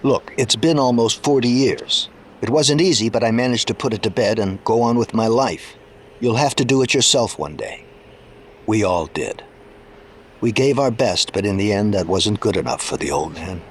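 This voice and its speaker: A man's voice: on the low side, expressive, moderate pace, a little hiss or hum, lightly acted. A man playing a middle-aged engineer and former sidekick in an audio drama, world-weary and bitter, with a casual, wry delivery. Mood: bitter, resigned.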